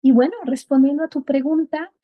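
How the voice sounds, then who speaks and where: High, softly even-toned Mexican woman. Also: a little fast, highs cut off. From Puebla, Mexico. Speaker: podcast guest, biodecoding practitioner. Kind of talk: conversational interview answers.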